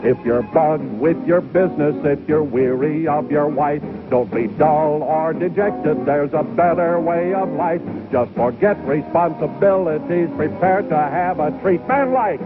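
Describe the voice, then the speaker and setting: A hip beatnik singer performed by a man delivers a comic vintage commercial in rhythmic chant and song. His voice is a bit high, with music clearly behind it. The sound is narrow and tinny. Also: a little slow, hardly any pauses, close to an everyday voice. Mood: jaunty, rhythmic. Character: hip male beatnik singer pitching cigarettes. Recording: tinny 1950s broadcast recording.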